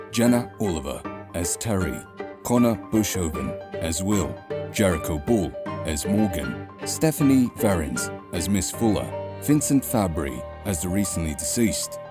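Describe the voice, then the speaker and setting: A man reading scripted credits as the announcer of an audio drama. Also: sits low. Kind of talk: scripted narration. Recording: loud music over the voice.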